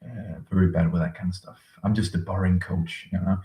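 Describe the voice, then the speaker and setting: A man in his late twenties, a language coach chatting casually with viewers on a live stream, rambling with many pauses and fillers. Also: sits low, fairly even intonation, warm tone, roomy sound, far from the mic. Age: 20s. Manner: rambling, thinks aloud with many ums, reads out chat messages.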